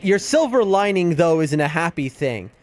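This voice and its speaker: A man's voice: fairly high pitch, big pitch swings, moderate pace, darkish tone. A man with a New York accent giving casual, rambling live game commentary and chatting to his viewers. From US East Coast. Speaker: game streamer. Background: music.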